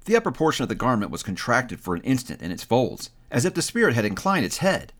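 A man narrating an audiobook in a dramatic, expressive and deliberate storytelling style. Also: mid-range voice.

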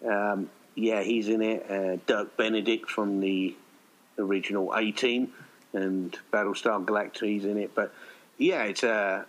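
A man's voice: on the low side, fairly even intonation, measured pace, poor audio. A man talking casually as an interview guest, in a rambling, hesitant, self-effacing way. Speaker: writer and director, podcast guest.

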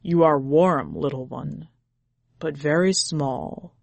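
A woman voicing a grave, gruff but tender male tiger who speaks slowly and formally in a storybook reading. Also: deep voice, dry recording, pitched below the performer's own voice, exaggerated voice. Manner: slow, formal, solemn speech.

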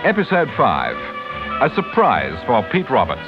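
A man's voice: medium pitch, huge pitch swings, unhurried. British male radio announcer over prominent music. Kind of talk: scripted vintage radio serial introduction. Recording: dull old radio recording.

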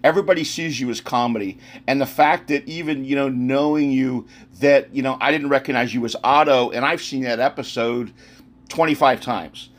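A man's voice: medium pitch, normal speed. A man hosting a podcast interview, effusive and rambling, with lots of fillers. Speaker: podcast host. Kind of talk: casual interview chat.

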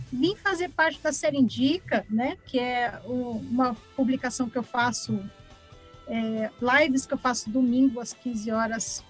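A Brazilian woman speaks as an interview guest in a fairly high voice. Her delivery is chatty and encouraging, in a casual conversational style, with faint music behind her. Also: breathy edge. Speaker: interview guest, author and art teacher.

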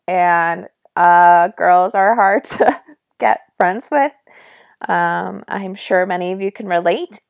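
Raspy young woman chatting casually. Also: medium pitch, fairly bright tone. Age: young adult. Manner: chatty, lots of fillers and asides. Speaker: nursing student. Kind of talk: casual vlog storytelling.

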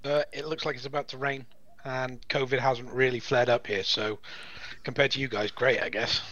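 A man's voice: medium pitch, steady tone, normal speed, fairly bright tone, slight background noise. A laid-back, self-deprecating man from England, talking through a cheap headset microphone. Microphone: cheap headset with a tinny sound. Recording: tinny cheap headset mic.

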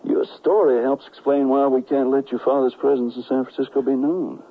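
An actor playing a cautious, gentlemanly conspirator in a western radio drama, speaking in a measured, formal and earnest way. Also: male voice, medium pitch, steady tone, slightly rough. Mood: guarded, courteous. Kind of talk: radio drama acting with first-person narration. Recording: muffled, narrow-band 1970s radio broadcast.

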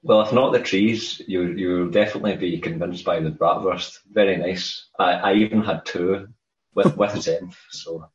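Scottish man, very low voice, casual rambling chat, roomy dull recording. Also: normal speed. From Scotland. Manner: rambling, repeats words when starting. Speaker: podcast co-host.

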